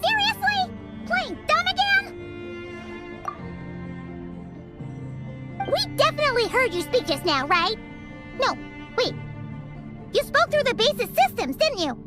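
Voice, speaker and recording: Casting: tiny childlike game sidekick, extremely high-pitched and wildly animated, inquisitive, exclamatory, quick to get exasperated. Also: female voice, music in the background. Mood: curious, puzzled. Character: small excitable childlike floating companion, female, easily exasperated. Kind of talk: animated video game dialogue.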